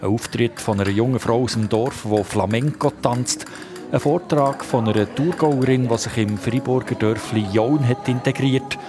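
A man's voice: medium pitch, normal speed, music in the background. A man with a Swiss German accent narrating a scripted radio report as a reporter.

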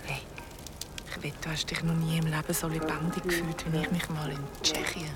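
A woman's voice: low voice, little pitch movement, a little fast, over music. An actress playing a blunt, wistful young ghost woman in an audio drama, frank and conversational. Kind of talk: naturalistic audio drama dialogue.

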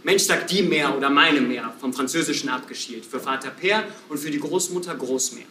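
A man's voice: mid-range voice, pushed and bright, distant mic. A man performing a reflective narrator on a roomy stage. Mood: tender. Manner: slow, reflective recitation. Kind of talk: theatre recitation of a novel. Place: theatre stage. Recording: roomy stage recording from afar, faint noise.

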